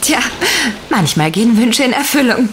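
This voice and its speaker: An actress playing an earnest, emotional seventeen-year-old heroine who also narrates a German audio drama. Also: female voice, a little high, lively intonation, moderate pace, natural voice. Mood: narrating.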